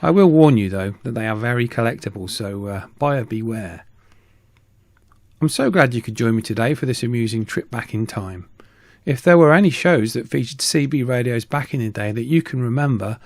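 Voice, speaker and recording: A man's voice: mid-range voice, expressive, brisk, dry recording. Middle-aged man narrating steadily. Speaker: YouTube host, radio hobbyist. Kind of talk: scripted voice-over narration.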